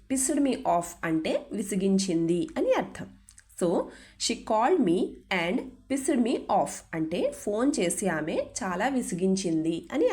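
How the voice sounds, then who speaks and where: A young woman with a Telugu accent teaching English, reading each sentence slowly and deliberately, then explaining it. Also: mid-range voice, darkish tone. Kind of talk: language lesson narration.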